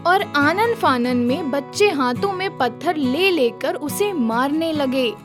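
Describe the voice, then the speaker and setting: Casting: female children's-story narrator, high voice, unhurried delivery, soft music bed. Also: animated.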